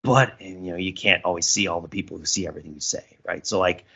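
A man's voice: deep voice, fast, sharp tone, slightly rough, lo-fi sound. A man co-hosting a casual analytical podcast, talking in a discursive way and thinking aloud as he qualifies his points. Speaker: podcast co-host.

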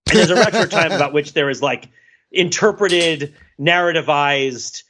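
A middle-aged American man co-hosting a podcast, talking casually and analytically in a rambling, animated way. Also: fairly high pitch, moderate pace. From United States. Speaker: podcast co-host. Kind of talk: casual discussion and cultural commentary.

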